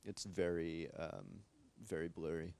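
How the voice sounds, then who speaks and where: An American man speaking as a translator on a panel, relaxed and rambling, with many fillers and restarts. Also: low-pitched, a little slow, vocal fry. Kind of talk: casual panel discussion. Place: library event space with live audience.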